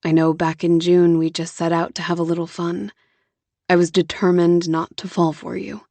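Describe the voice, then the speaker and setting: A woman voicing a tender, earnest young man in love, with a soft, low, hushed and coaxing delivery. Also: level tone, moderate pace, no echo. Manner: gentle and coaxing.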